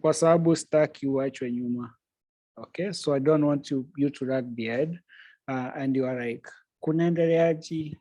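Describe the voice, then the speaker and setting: A man's voice: medium pitch, normal speed, dark tone, no echo, highs cut off. A man teaching Swahili over a video call. He explains things patiently, repeats each point in English, and laughs easily.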